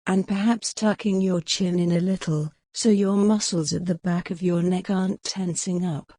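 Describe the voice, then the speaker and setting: A woman narrating a guided meditation in a measured, instructional manner, pausing gently between phrases. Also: fairly low pitch, fairly even intonation, dry recording.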